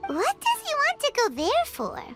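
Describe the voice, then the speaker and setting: Tiny game fairy companion, squeaky, extremely high, wildly swooping, chirpy. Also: female voice, huge pitch swings, moderate pace, heavily acted. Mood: chirpy, earnest. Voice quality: squeaky, childlike. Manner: refers to self in third person. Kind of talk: video game voice acting. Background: music.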